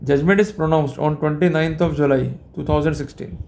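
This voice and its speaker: A man with an Indian accent giving a formal instructional lecture on his own, in deliberate, measured phrases with short pauses. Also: on the high side, fairly even intonation, creaky, darkish tone. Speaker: legal educator presenting a lecture.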